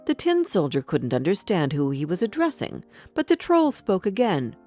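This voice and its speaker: Lively, low-pitched woman storyteller. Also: moderate pace. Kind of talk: scripted fairy tale narration for children. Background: background music.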